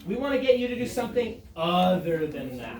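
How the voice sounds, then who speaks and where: A man in his thirties leads a review lesson in a classroom and keeps throwing questions at the class. His voice sits a little high and has a dry, flat quality. It is caught from across a roomy space, with students murmuring around him. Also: a little fast, far from the mic, crowd noise. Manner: dry, self-described monotone, asks students questions. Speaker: chemistry teacher. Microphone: far camera at the back of the room.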